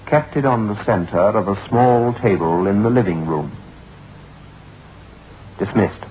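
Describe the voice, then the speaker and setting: A grave, formal lawyer voiced by a male actor recounts a grim tale with portentous solemnity. His voice is low. It is an old radio horror drama, slightly dull with faint hiss. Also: a little slow, close to an everyday voice. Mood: solemn, ominous. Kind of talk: old-time radio acting, dramatic narration. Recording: dull, hissy vintage radio recording.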